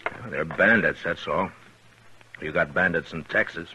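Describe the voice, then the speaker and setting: An actor playing a calm, tough, fair-minded American frontier marshal in 1950s western radio drama, speaking in a measured, plain way with thoughtful pauses. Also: male voice, low voice, dull audio, exaggerated voice. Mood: firm, reasoning. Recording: noisy vintage 1950s radio recording.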